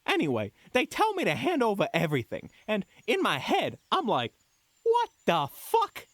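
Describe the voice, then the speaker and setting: A man playing a desperate, chatty male merchant in a fantasy audio roleplay, with a folksy, excitable, pleading way of talking. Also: sits high, normal speed, no room sound, heavily acted. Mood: flustered, relieved. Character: desperate, chatty male merchant who was just robbed.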